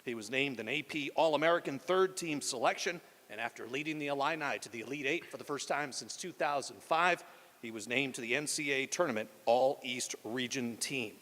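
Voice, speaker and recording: A man's voice: mid-range voice, brisk, a bit bright. A man moderating a press conference with scripted remarks in a polished announcer delivery. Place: large indoor arena press conference. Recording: echoey hall with long reverb.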